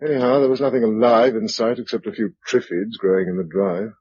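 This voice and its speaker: A man's voice: mid-range voice, a little fast, darkish tone, slightly rough. An actor playing a practical, weary male leader of a survivor household in a radio drama, matter-of-fact and decisive. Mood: weary, resolute. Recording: dull lo-fi radio recording.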